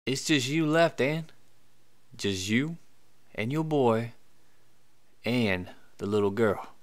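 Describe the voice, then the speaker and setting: A man's voice: mid-range voice, lively intonation, slow pace. A man playing a notorious, smirking outlaw in a Western fan audio drama, sly, unruffled and coolly taunting.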